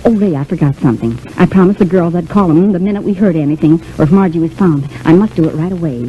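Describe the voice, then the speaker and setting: An actress playing a quick-thinking, bossy, protective showgirl friend in a vintage radio soap, talking briskly and chattily. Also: lowish voice, close to an everyday voice. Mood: conspiratorial. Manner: brisk, chatty, takes charge. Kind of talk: 1930s-40s radio soap opera acting. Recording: muffled, hissy vintage radio recording.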